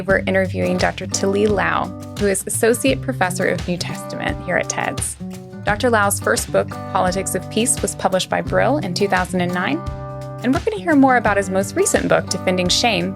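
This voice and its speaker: A woman's voice: mid-range voice, vocal fry. A woman co-hosting an academic podcast, giving introductions and asking interview questions.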